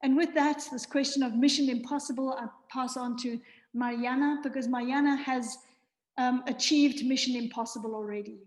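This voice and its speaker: A woman's voice: high voice, steady tone, moderate pace. A woman hosting a webinar forum, giving an earnest, idealistic opening speech with rhythmic, repeated phrasing over a video call. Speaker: forum host.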